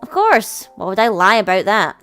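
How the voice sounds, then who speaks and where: A woman voicing a caring, earnest older brother from a visual novel in a gentle, sincere manner. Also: medium pitch, big pitch swings, normal speed, clear, resonant tone, soft background music. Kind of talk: visual novel character voice in a let's play.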